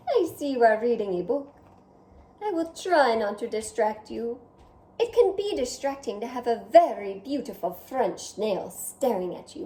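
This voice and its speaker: A woman's voice: fairly high pitch, warm tone. A woman voicing a vain, dramatic male French snail who speaks theatrically, admires himself and talks straight to the listener. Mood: grandly chatty. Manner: theatrical, self-admiring, addresses listener directly. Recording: roomy echo.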